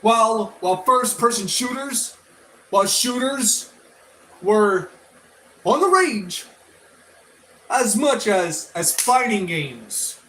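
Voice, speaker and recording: A man with a high-pitched voice talks through his opinions in a casual review vlog style. His delivery is slow and halting, with drawn-out words. He circles back to repeat and restart phrases as he rambles. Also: a bit bright, a little hiss or hum, low-quality recording. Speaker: toy and media review vlogger.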